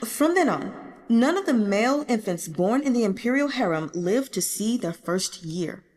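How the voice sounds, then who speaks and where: A woman's voice: on the high side, very animated, normal speed, darkish tone, in a large hall. A woman voicing a self-mocking, burdened young emperor in an audiobook, formal and solemn, with regal phrasing. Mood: grave, confiding. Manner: formal, solemn, speaks in the royal we. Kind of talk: audiobook character dialogue.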